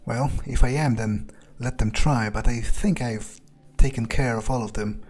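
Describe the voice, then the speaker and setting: Man as brash fledgling vampire, in-character gameplay commentary, medium pitch, casual, sweary, blunt, wary. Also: steady tone, moderate pace, a little mellow, soft background music, natural voice. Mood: cocky, musing. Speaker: gamer playing in character.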